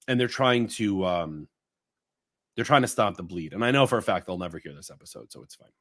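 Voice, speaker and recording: A man from Long Island, co-hosting a podcast in rambling, animated casual chat. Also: low-pitched, fairly quick. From Long Island, New York. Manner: rambling, animated storytelling with fillers and asides. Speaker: podcast co-host.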